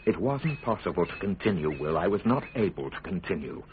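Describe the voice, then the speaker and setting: An actor playing a wry, principled middle-aged English statesman, measured, dry and lawyerly, in a radio drama. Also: sits low, big pitch swings. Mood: wry, insistent. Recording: phone-like lo-fi radio recording, faint noise.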